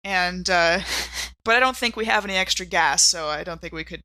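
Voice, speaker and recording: Female tabletop player, bright forward voice, playful casual improv, dry close-miked sound. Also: on the low side, moderate pace, dry recording. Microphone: close studio microphone.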